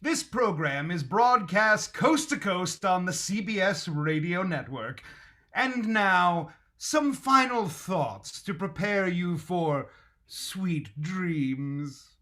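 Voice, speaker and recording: An upbeat vintage radio network announcer played by a man. The voice is high and forceful, moving slowly through showy, projected phrases. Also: expressive, full character voice.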